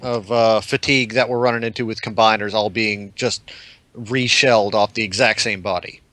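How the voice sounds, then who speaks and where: Bright, forceful-voiced man in casual chat. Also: lowish voice, fairly even intonation, normal speed, dry recording. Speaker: podcast panelist.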